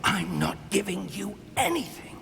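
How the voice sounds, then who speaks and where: An actor playing an earnest, scholarly wizard professor in a video game, grave and articulate. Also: male voice, high voice, very animated, unhurried, vocal fry, music in the background, full character voice. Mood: defiant. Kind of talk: dramatic video game acting.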